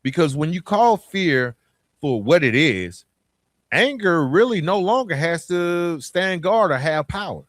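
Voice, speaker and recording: A man's voice: on the high side, expressive, measured pace, dry recording, clean studio sound. A man with an Atlanta Southern accent giving an informal motivational podcast monologue, conversational and emphatic, often repeating phrases and posing questions. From Atlanta, southern United States. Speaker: podcast host.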